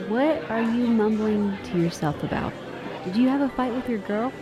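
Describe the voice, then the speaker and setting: An actress playing a plain-spoken, caring young waitress in a radio drama. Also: female voice, a little high, moderate pace, crowd noise, lightly acted. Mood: offhand.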